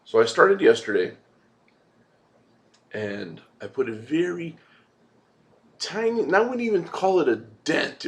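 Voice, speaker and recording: A man's voice: mid-range voice, vocal fry, fairly bright tone. A man hosting a movie review vlog, rambling casually in an informal, laid-back way with some swearing.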